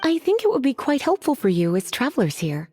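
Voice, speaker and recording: A woman's voice: high voice, huge pitch swings, brisk, darkish tone, slight background noise. An actress playing a languid, teasing woman librarian who drawls and sighs, in a game with faint music behind. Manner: drawling, sighing, sultry teasing.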